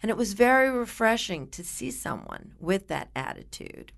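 A woman's voice: medium pitch, big pitch swings, normal speed, vocal fry. A woman hosting a radio interview in a conversational way, putting questions and remarks to her guest. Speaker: interview host.

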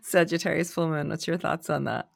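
A woman's voice: low voice, steady tone, brisk, creaky, no echo, studio quality. A woman co-hosting an astrology podcast, thoughtful and playful, musing in casual chat. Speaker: podcast co-host.

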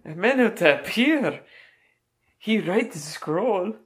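A performer playing a pompous ancient Egyptian librarian and general with a mock Italian-sounding accent and broken, slow, repetitive grammar. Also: medium pitch, lively intonation. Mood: stern. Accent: mock Italian-sounding Middle Eastern accent. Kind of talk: improv comedy.

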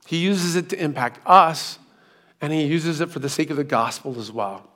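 A hoarse middle-aged man giving a sermon, telling a personal story with self-deprecating asides, speaking into a hands-free microphone. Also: on the high side, normal speed, a bit bright. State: hoarse, recovering from lost voice. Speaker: pastor.

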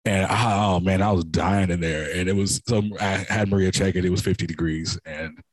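Brief: deep-voiced man, hoarse and raspy, halting church testimony, dry close sound. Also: steady tone, no room sound, low-quality recording. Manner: rambling, many restarts and fillers. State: hoarse from a cold. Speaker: church member giving testimony. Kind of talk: spontaneous testimony to a congregation.

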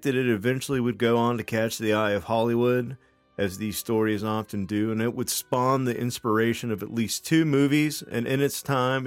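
A man's voice: mid-range voice, dry recording. A man co-hosting a podcast, chatting casually with lots of fillers and laughing asides, then telling a spooky story. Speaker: podcast co-host.

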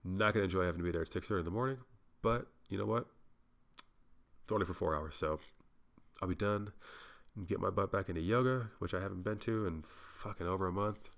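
Low gravelly-voiced man rambling. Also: fast, fairly bright tone. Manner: rambling, swears casually, self-interrupting. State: congested. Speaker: podcast host. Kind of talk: casual solo podcast monologue.

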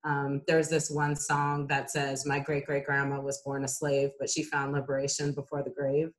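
A woman with a notably low voice speaks on a panel discussion. She is reflective and measured, and fills her pauses often with "um". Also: completely flat delivery.

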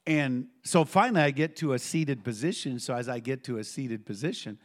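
A middle-aged man preaching to a congregation in a church, telling a personal story with lively call-and-response. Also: mid-range voice, a little fast. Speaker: pastor.

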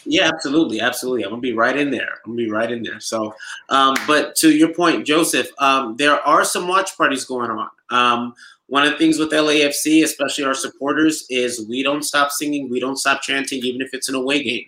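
Male regular, casual and upbeat, bright forceful tone, echoey room. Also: medium pitch, fairly quick. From United States, Nigerian heritage. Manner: laid-back, filler-heavy, enthusiastic. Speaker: supporter group DJ and show regular. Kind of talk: casual podcast chat.

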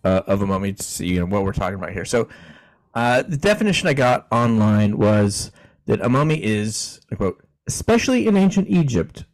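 A man co-hosts a podcast in an easygoing, chatty way, reading out definitions and chuckling along. His voice sits in a middle pitch range. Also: darkish tone, low-quality recording. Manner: easygoing, chuckling, frequent restarts. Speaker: podcast co-host. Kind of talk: casual chat, reading definitions aloud.

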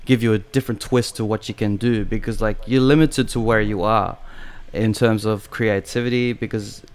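A man's voice: fairly low pitch, fairly even intonation, moderate pace, clean tone. A man hosting a podcast, joking and rambling in casual chat. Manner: joking, rambling, laughs often. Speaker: podcast host.

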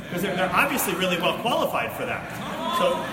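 A man's voice: sits high, wildly swooping pitch, brisk. A man preaching in an informal, colloquial way, telling a story and explaining it with asides. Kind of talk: sermon, conversational storytelling and teaching. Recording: echoey hall, distant mic, faint noise.

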